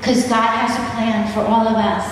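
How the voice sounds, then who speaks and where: A middle-aged woman leading a church women's gathering. She is chatty and keeps clearing her throat because she is losing her voice. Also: on the high side. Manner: warm, chatty, easily sidetracked, frequent throat clearing. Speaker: dance minister. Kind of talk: informal ministry talk to a women's gathering. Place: large wood-panelled hall or church, on a stage. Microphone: handheld mic, filmed from a distant camera. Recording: roomy hall echo, distant mic, noisy.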